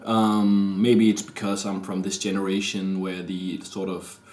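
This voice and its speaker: Slow, halting Danish-accented man. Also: deep voice, level tone, a little mellow, a little hiss or hum. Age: 30s. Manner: hesitant, halting, many restarts and fillers. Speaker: vlogger. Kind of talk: rambling personal confession to camera. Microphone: camera mic.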